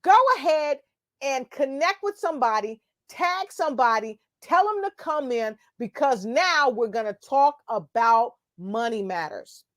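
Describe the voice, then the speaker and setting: A woman hosting an online event, excited and whooping as she brings in the guests. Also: sits high, measured pace. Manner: excited, whooping, hypes up the crowd. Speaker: event host.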